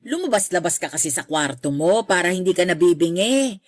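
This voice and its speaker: A woman's voice: on the low side, animated, fairly quick, no room sound. An actress playing a scornful, nagging middle-aged mother in a radio drama, scolding and sarcastic. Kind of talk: Tagalog radio drama acting.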